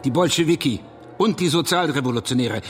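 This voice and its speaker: A man's voice: fairly high pitch, expressive, a little fast, fairly bright tone, breathy edge, faint music behind. An actor playing an indignant conservative man in a German radio drama, accusatory and contemptuous, given to rhetorical questions. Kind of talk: German radio drama dialogue, polemical debate.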